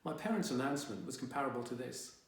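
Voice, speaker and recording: A man's voice: mid-range voice, lively intonation, fast talker, recorded from a distance, lightly acted. A man voicing a sullen, indignant fifteen-year-old boy telling his own story in a wry, exasperated way. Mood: wry, uneasy. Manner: wry, dramatic, exasperated teenage grumbling. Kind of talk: first-person young adult audiobook reading. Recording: very echoey, reverberant room.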